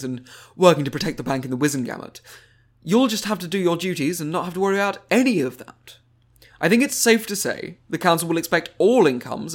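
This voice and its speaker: A man's voice: fairly high pitch, close to an everyday voice. A man voicing a crafty female council official in an audiobook, falsely chummy, breezy and patronizing. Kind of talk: audiobook character dialogue.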